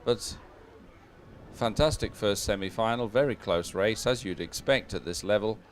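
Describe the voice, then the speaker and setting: A man working as a live sports commentator and presenter, announcing results and schedules in an unscripted, halting way. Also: on the low side, a little hiss or hum. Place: open-air commentary position.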